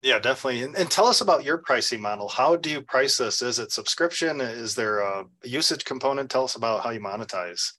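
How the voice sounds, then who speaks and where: A male podcast host with a bright, forward voice at a middling pitch. He asks questions and follows up in a conversational interview style. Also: lively intonation, fairly quick, hardly any pauses.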